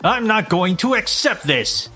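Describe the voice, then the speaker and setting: A man's voice: high-pitched, sing-song, measured pace, pushed and bright, breathy edge, heavily acted. Guy doing whiny selfish cheater husband, manga dub, voice jumps all over, bit of music behind. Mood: outraged. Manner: indignant, whiny blustering.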